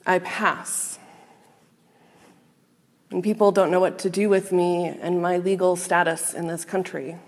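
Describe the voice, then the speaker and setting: A woman minister giving a sermon to a congregation, speaking in a measured, earnest and reflective way with pauses. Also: on the low side, little pitch movement. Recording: long reverb of a large hall.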